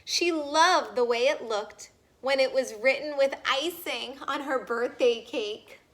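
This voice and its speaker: Woman, children's storyteller, unhurried, bright tone, animated, asks the listeners questions. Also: on the high side. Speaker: storyteller reading a picture book aloud to children. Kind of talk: expressive children's read-aloud with asides to young listeners.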